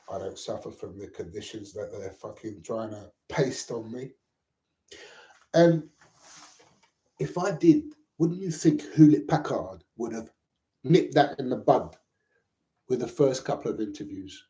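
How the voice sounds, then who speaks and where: A man's voice: medium pitch, measured pace, long pauses, warm tone. A middle-aged man from Lebanon, talking in a rambling personal monologue. He is agitated, repeats himself and swears often. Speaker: vlogger. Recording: echoey room.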